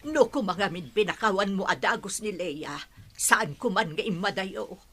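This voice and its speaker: Sharp, husky, bitter mother played by a woman. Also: mid-range voice, expressive, normal speed, soft background music. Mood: bitter blaming. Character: bitter, accusing middle-aged mother and wife of Mando. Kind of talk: Ilocano audio drama acting.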